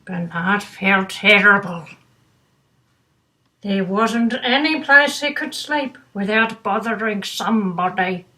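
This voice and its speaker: A woman's voice: medium pitch, slow, pushed and bright, low-quality recording. An older woman reading a children's bedtime story aloud with doting, grandmotherly asides. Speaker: storybook reader.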